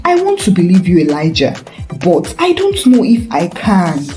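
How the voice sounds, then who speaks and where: A wounded, distrustful wife from a story, acted out by a woman narrator. She is guarded and accusing, with a mellow tone and very mobile pitch, over faint music. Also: wildly swooping pitch, normal speed. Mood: hurt, doubting. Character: hurt, bitter, distrustful wife of a pastor. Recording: roomy echo with faint music.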